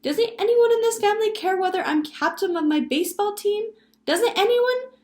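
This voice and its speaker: A woman voicing an eager, boastful young son in a children's story, insistent and excitable. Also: extremely high pitch, normal speed, roomy sound, voice pushed up. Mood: indignant.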